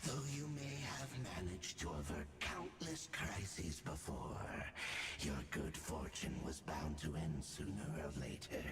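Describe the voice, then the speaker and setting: An actor playing a taunting, coldly condescending male villain in a video game, speaking in a slow, mocking drawl. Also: rumbling low, animated. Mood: scornful. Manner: slow, drawn-out, mocking delivery. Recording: echoing voice under loud game music.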